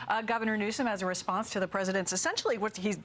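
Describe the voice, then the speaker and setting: A woman's voice: medium pitch, steady tone, very fast, fairly bright tone, a little hiss or hum. A woman discussing live news as a television anchor.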